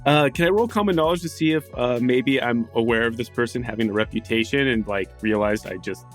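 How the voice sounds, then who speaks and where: Male tabletop player: relaxed table talk, faint music underneath. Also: mid-range voice, fairly quick. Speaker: player in a tabletop game show.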